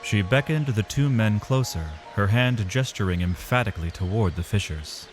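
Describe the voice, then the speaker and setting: A man delivering dramatic, measured literary narration as the storyteller of a scripted fantasy tale. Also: on the low side. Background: music.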